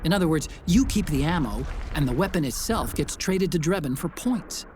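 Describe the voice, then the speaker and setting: An actor playing an earnest, brainy support ally, explaining a mission helpfully as a scripted game briefing. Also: male voice, fairly high pitch, expressive, normal speed, a little mellow, slight background noise, close to an everyday voice. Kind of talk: scripted mission briefing over radio link.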